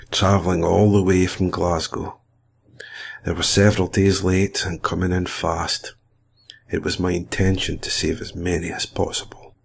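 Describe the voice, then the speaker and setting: A man playing a guilt-ridden nineteenth-century harbour master, giving a grave, formal account in a gravelly, husky voice, as a scripted dramatic monologue. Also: deep voice, heavily acted. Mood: grave, tense. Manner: grave, deliberate, formal old-fashioned diction.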